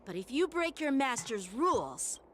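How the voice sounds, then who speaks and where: A woman's voice: sits high, very animated, normal speed. A woman voicing an earnest, proud teenage boy sword master in an anime game, formal and self-assured, with music behind. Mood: firm, instructive. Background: game background music.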